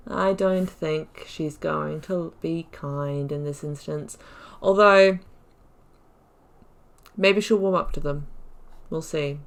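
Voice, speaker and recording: Chatty, lowish-voiced woman gamer. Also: animated, drawn-out words, pauses often. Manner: chatty, playful asides. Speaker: gaming video host. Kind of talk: casual playthrough commentary.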